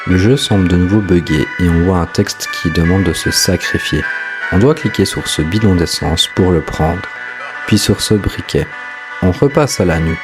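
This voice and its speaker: A man's voice: low voice, normal speed. A man hosting and narrating a scripted explainer of a horror story. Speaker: host, narrator. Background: music.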